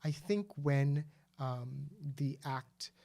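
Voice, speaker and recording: A man talks through his views in a warm, mellow voice. He speaks earnestly and thoughtfully on a casual discussion show, pausing often and restarting his sentences as he searches for words. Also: medium pitch, vocal fry. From Guyana. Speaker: podcast co-host.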